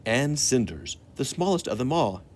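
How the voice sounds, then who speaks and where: A man's voice: medium pitch, sing-song, normal speed. An American man, a librarian hosting a children's storytime, who talks to young listeners and puts questions to them. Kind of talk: children's storytime with songs and read-aloud.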